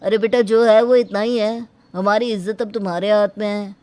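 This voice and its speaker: A medium-pitched voice plays an earnest family member , acted by a woman. The delivery is pleading and sincere, in the manner of a domestic moral tale. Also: steady tone, moderate pace, darkish tone, close to an everyday voice.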